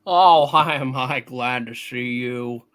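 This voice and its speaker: Man playing an earnest, slow-spoken young man. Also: medium pitch, fairly bright tone. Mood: flustered excitement. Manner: hammy, stammering. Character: earnest, frustrated, excitable young man, dutiful brother. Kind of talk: hammed-up amateur play reading.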